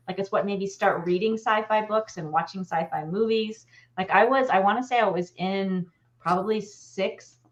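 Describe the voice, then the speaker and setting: A woman in her forties chatting casually and enthusiastically as a co-host on a video call. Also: mid-range voice. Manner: enthusiastic, informal. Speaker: panel co-host. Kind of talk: casual chat. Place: room at home, video call. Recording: slightly dull video-call audio.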